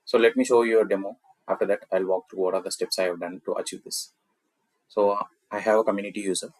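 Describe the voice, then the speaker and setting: A man with a South Indian accent, a native Tamil speaker, presenting a software tutorial in an explanatory walkthrough style. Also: fairly low pitch, steady tone, quick pace, dry recording.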